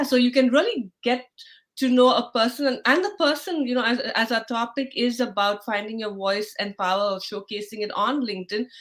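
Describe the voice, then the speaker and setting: A woman's voice: a little high, a bit bright. Chatty Indian woman hosting an interview. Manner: chatty, many fillers and restarts. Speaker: host. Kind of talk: live online interview.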